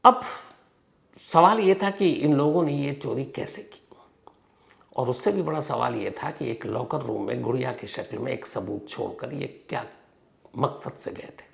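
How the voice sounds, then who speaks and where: Gravelly man telling a crime story. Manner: dramatic pauses and emphatic stresses, sentences trailing off low. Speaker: host of a true-crime storytelling show. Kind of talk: narrative crime storytelling, conversational.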